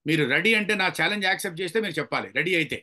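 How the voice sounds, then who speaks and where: A man's voice: on the high side, lively intonation, racing, sharp tone. A man training people in public speaking, emphatic and challenging as he instructs with examples. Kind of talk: interactive training lecture with examples.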